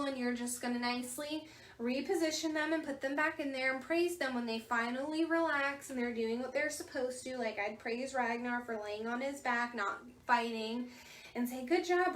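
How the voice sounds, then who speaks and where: Woman, fairly high soft voice, chatty clinic advice, recorded from a distance in a roomy space. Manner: chatty, many "you know" fillers. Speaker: veterinary staff member. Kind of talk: informal instructional talk. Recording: echoey room, distant mic.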